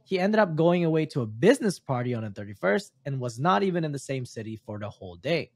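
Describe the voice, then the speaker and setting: A man's voice: a little high, big pitch swings, quick pace, dry recording. A man who is not a native English speaker reads a story aloud for a podcast, stumbling now and then on his words. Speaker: podcast host reading stories.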